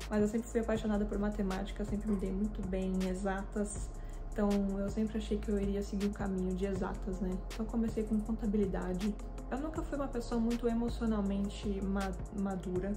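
A woman in her twenties from Brazil, with a Brazilian accent, telling her own story to camera in a casual, animated way. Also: medium pitch. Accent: Brazilian Portuguese. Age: 20s. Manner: chatty and animated, with frequent hand gestures. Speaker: fitness vlogger. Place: small indoor room with a desk and lamp.

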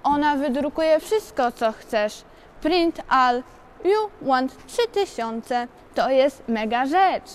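A generous gift-giver played by a woman with a high voice, declaiming very slowly in an amateur sci-fi film. Background noise surrounds the lines. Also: lively intonation. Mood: proud, generous. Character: generous giver of a magical machine. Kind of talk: amateur school film acting.

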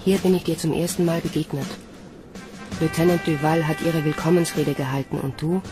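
A woman's voice: sits low, fairly even intonation, fairly quick, lightly acted. Woman playing a cynical young recruit over music. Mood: bitter, reflective. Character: cynical young female army recruit telling her story. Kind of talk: science fiction radio drama narration. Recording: music behind the voice.

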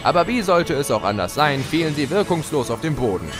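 A man's voice: fairly high pitch, big pitch swings, a little fast, music in the background. An actor playing a gleeful chaos spirit, a theatrical, mocking villain who narrates his own tale in an audio drama. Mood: smug storytelling.

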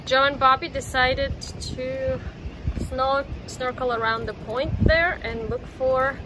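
A woman's voice: sits high, fairly even intonation, takes it slowly. A woman narrating a casual travel vlog in a wry, playful way, outdoors on a sailboat. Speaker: sailing vlogger. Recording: loud wind and boat noise. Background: wind, water and boat noise.

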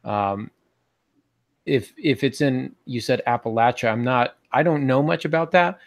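A man's voice: medium pitch, unhurried, dry recording. A man in his forties giving casual advice as a podcast co-host on a video call, thinking aloud in a tentative way. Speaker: podcast co-host and writing advisor. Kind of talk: casual advisory talk. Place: small home room with bookshelves, indoors. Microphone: video call on earphones.

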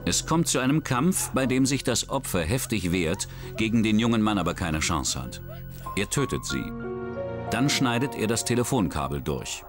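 A man narrating a true crime documentary in a measured, serious scripted voice. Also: fairly low pitch. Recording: music bed under voice.

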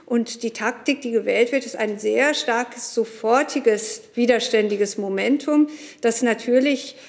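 A woman in her forties, an academic answering at a press conference, deliberately searching for her words. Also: fairly high pitch. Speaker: academic researcher. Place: large indoor press conference room.

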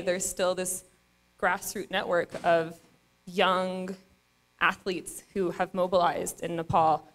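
Young woman at a stage mic, award speech, earnest, lots of ums. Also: fairly low pitch. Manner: earnest, with frequent fillers and restarts. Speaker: award recipient giving a speech. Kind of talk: acceptance speech. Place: stage in a dark event venue. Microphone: stand mic.

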